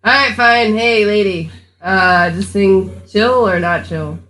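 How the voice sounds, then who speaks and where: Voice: medium pitch, takes it slowly, pushed and bright. A player in a tabletop role-playing game, chatting casually in a slangy, jokey way.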